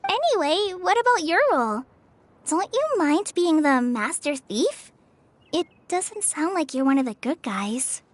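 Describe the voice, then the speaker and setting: A woman's voice: sits high, sing-song, unhurried, dry recording. A woman voicing a shy, earnest teenage trainee ranger girl in a video game, polite and hesitant. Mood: doubtful. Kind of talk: video game character acting. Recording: music behind the voice.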